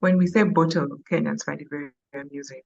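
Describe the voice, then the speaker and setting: Ugandan woman, podcast co-host, lowish voice, chatty, dull call audio. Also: fairly even intonation, fast talker, vocal fry. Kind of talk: casual live podcast chat.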